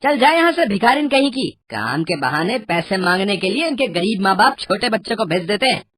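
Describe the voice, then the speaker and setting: A woman voicing a rude, contemptuous shopkeeper with a dismissive manner in an animated moral story. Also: mid-range voice, fairly quick. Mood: scornful. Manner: scornful, dismissive. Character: contemptuous, rude shopkeeper shooing beggars away.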